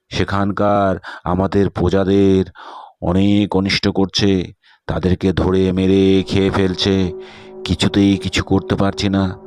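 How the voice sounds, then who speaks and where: Man doing a worried old king, deep, slow, pleading. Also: a little mellow. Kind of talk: cartoon voice acting.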